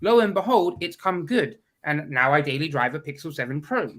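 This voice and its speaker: A man's voice: a little high, big pitch swings, moderate pace, a bit bright. A man, a guest in a casual video call chat, talking bluntly and with strong opinions while stuffed up from a cold.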